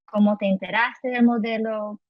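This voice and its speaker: A woman's voice: a little high, steady tone, normal speed, no room sound, highs cut off. A woman co-hosting a podcast, chatting as she introduces and interviews a guest, with many fillers and restarts. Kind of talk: casual interview chat.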